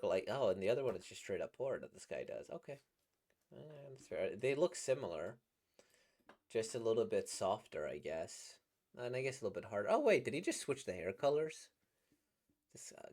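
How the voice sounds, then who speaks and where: Slightly squeaky man rambling playfully. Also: big pitch swings, moderate pace, a little mellow. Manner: rambling, playful, laughs at his own jokes. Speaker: voice actor reacting to comics. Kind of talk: casual reaction commentary.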